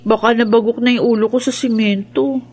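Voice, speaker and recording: A woman acting as a young Filipino schoolgirl daughter in a radio drama. Her voice is fairly high, and she speaks in a respectful, soothing, conversational way. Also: fairly even intonation, a little fast, darkish tone, close to an everyday voice. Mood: reassuring.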